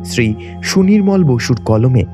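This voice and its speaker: A man's voice: mid-range voice, expressive, unhurried, music in the background. A man presenting an audio story with a scripted introduction.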